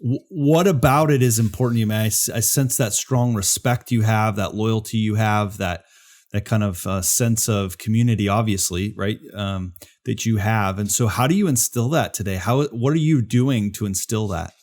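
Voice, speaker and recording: A man's voice: fairly low pitch, normal speed, darkish tone. A man hosting a podcast, asking curious, rambling questions with restarts. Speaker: podcast host. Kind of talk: interview questions, casual.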